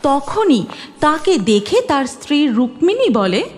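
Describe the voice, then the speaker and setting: A woman's voice: sits high, sing-song, measured pace, a little mellow. A woman narrating a scripted cartoon story. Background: music.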